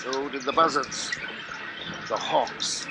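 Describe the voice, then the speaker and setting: An actor as a haunted husband in an old 1950s radio play. He speaks in a middle-pitched male voice, measured and brooding, with heavy dramatic music around him. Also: steady tone, lightly acted. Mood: haunted, ominous. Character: besieged husband, grave, watchful, haunted by birds. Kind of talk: 1950s radio drama acting, first-person narration.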